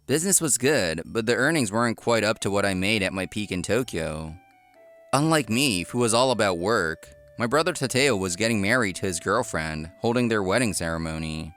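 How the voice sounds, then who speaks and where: A man's voice: lowish voice, lively intonation, moderate pace, a bit bright. A man acts out a hardworking young restaurateur in a manga drama. His delivery moves expressively between sincere narration and easily flustered dialogue. Music plays behind him. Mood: earnest, reflective. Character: earnest, work-obsessed young male restaurant owner, easily flustered. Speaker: narrator and lead character.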